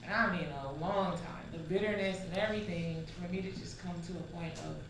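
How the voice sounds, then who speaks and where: A woman's voice: fairly low pitch, steady tone, a little fast. A woman speaking personally and reflectively, repeating words for emphasis. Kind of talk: personal, reflective group talk. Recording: very echoey room, distant mic, noisy.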